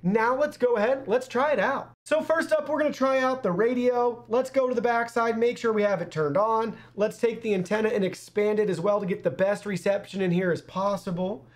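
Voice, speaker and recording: High-voiced young man, upbeat. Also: fairly quick, few pauses. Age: young adult. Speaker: product reviewer. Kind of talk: scripted product review to camera. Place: small indoor studio.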